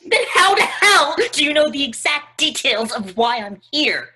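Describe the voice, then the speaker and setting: Woman as brash young man, confrontational, paranoid streak, sharp voice, swooping pitch, stage play read-through. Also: sing-song, normal speed, exaggerated voice. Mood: paranoid panic. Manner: dramatic, confrontational. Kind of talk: stage play table reading.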